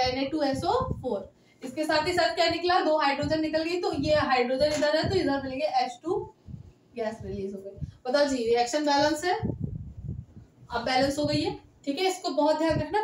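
A young woman teaching chemistry at a whiteboard through a headset mic, checking in with students often as her voice tires and goes hoarse. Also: high-pitched. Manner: talks straight to students, coaxing and checking in. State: voice tiring and going hoarse. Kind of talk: classroom lecture at a whiteboard. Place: small indoor room by a whiteboard. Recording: echoey room with steady background noise.

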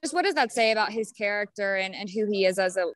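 Young woman reporter, bright tone, halting questions, earbud mic, faint music behind. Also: medium pitch, lively intonation. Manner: hesitant, with fillers. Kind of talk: press conference questions. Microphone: earbuds on a video call.

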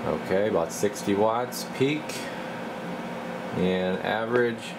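Low, gravelly man over loud background hum. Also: slow pace. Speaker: radio technician demonstrating a CB radio. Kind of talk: casual hands-on demonstration narration. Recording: steady loud equipment and room hum.

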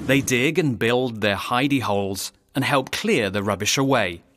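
A man's voice: mid-range voice, moderate pace, a bit bright. A man narrating a rhyming educational tale in a playful, theatrical storytelling manner, speaking straight to the listener.

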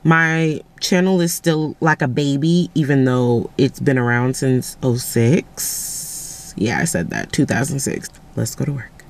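A woman's voice: rumbling low, unhurried, a little hiss or hum. Chatty young woman vlogging to camera. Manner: chatty, rambling storytelling with frequent "like". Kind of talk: casual vlog chat to camera. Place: parked car, then home kitchen indoors. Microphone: camera held at arm's length.

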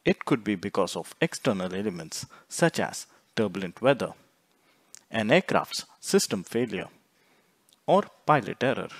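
A man reading scripted instructional narration in a measured, explanatory way, phrase by phrase. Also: on the low side, big pitch swings, vocal fry, no room sound. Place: close, dry voice-over recording.

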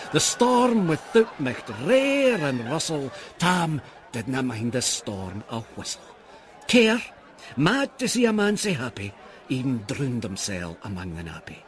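A man's voice: medium pitch, noisy background. Scottish man dramatically reciting verse. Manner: theatrical, expressive storytelling. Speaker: poetry reader.

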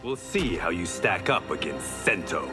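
A proud blind swordsman in a video game. His voice is low, with lively inflection, as he makes calm, defiant threats. Music sits behind the dialogue. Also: a little slow. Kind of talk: fighting game character dialogue.

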